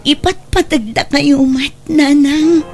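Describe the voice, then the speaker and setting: A woman's voice: high-pitched, fairly even intonation, slow. A woman playing a forgiving, respectful grown daughter and young mother in a radio drama, with an Ilocano accent. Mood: gentle, forgiving. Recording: music bed behind the voice.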